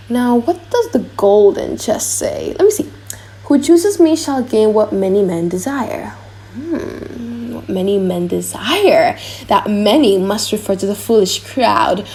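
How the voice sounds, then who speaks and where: A woman's voice: medium pitch, a little mellow, natural voice. A woman voicing a vain, pompous prince in a dramatic audiobook, musing aloud theatrically. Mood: haughty musing. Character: vain, pompous foreign prince weighing his choice aloud.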